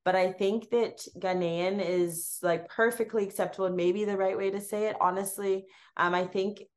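A woman's voice: mid-range voice, fairly even intonation, normal speed. A woman with a Canadian accent, a food blogger chatting as an interview guest, rambling with ums. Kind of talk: casual interview chat.

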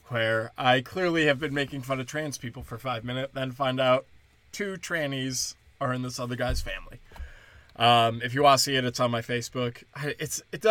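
A young man, a stand-up comedian, rambling crudely and mockingly through a solo podcast, breaking into high mimicking voices. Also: pushed and bright, no echo.

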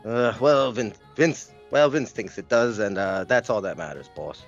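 A man's voice: on the low side, big pitch swings, unhurried, vocal fry, exaggerated voice. A man playing a hesitant, apologetic wrestling go-between, hemming and hawing in a comedic audio play. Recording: muffled, music underneath.

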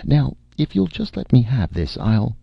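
A man voicing an anxious woman agent in a story, hastily and pleadingly smoothing things over. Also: medium pitch, sing-song, measured pace, dry recording, dull audio, pitched above the performer's own voice, heavily acted. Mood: hasty, covering up. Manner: hasty, pleading.

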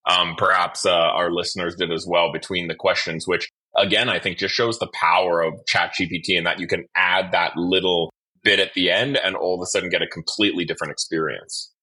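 Male interviewer, lowish pitch, bright forceful tone, conversational podcast hosting. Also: fairly even intonation, a little fast, slightly rough.

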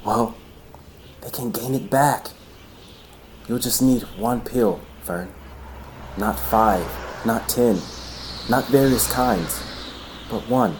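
Audio drama part: man playing caring, anxious young boyfriend, medium pitch, earnest persuader; light background noise. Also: takes it slowly, pauses often, close to an everyday voice. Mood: hopeful, earnest. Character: worried, earnest young boyfriend, pleading and lecturing.

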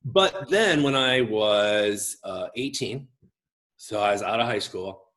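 Expressive big-voiced man in his forties. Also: fairly low pitch. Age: 40s. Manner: expressive, gregarious, halting with self-interruptions. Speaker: guest, pastor. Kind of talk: reflective personal storytelling in conversation.

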